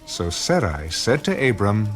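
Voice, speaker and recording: Slow male scripture narrator over music. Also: on the low side, sing-song. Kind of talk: solemn dramatized scripture narration.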